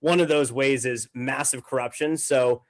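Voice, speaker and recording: A man's voice: medium pitch, steady tone, normal speed. A man in his twenties from Massachusetts with an American accent, chatting and telling stories in an informal, slangy way. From Massachusetts, United States. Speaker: podcast guest. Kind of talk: casual conversational storytelling.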